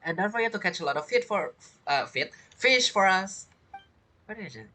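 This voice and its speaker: A man's voice: sits high, animated, moderate pace, noisy background. A young man giving chatty, playful commentary over a game playthrough. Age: young adult. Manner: chatty, playful, reacts to the characters. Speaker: gaming streamer.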